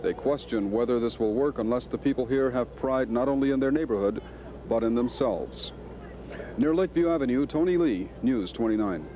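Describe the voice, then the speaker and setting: A man's voice: mid-range voice, normal speed, mellow. An American man narrating a scripted television news field report.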